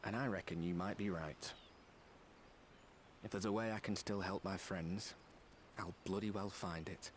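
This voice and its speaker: An actor playing an earnest, loyal friend in a fantasy video game, plain-spoken and encouraging. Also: male voice, deep voice, fairly even intonation, measured pace, pauses often, slight background noise. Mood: resolved. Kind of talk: dramatic video game voice acting. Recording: music behind the voice.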